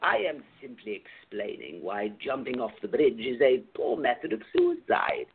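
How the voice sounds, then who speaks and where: A man acting in a radio play as a sly, sinister older recruiter for a secret club, with a gravelly voice and theatrical, insinuating delivery. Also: mid-range voice, huge pitch swings, normal speed, a little hiss or hum. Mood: condescending, insinuating. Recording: tinny, phone-like narrow-band audio.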